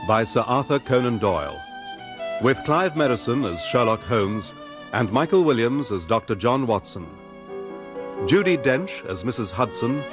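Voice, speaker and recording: A British man announces a radio drama in formal broadcast style. His mid-range voice moves with lively intonation, over clearly audible violin music. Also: mid-range voice.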